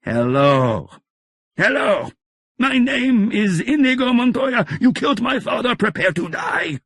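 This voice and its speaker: A husky-voiced man voicing a wounded, vengeful swordsman who speaks with hushed, grim intensity. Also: mid-range voice, lively intonation, unhurried, dry recording, studio quality, pitched above the performer's own voice. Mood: rising fury.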